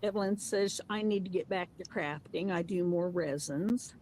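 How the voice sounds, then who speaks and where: A woman hosting a live craft stream, reading viewer comments aloud in a brisk, casual way. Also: lowish voice, a little mellow, slight background noise. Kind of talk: casual chat.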